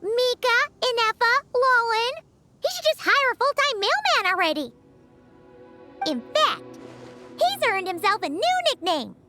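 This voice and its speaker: A tiny floating companion from a video game. The voice is extremely high, childlike and cheeky, with lively swings and exclamations. Prominent music plays behind it. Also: female voice, a little slow, full character voice. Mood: teasing. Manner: speaks of herself in third person, exclaims.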